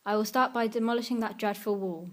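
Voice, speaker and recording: Woman as audiobook mother queen: medium pitch, loving, stern, firm and declarative. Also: quick pace, close to an everyday voice. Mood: proud, commanding. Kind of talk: children's audiobook character acting.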